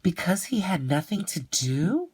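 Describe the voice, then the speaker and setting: A man's voice: high voice, big pitch swings, unhurried, a little mellow, slightly rough, voice pushed up, heavily acted. A man voicing a curious, imaginative young boy in a storybook, eager and full of wondering questions. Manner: wondering, inquisitive.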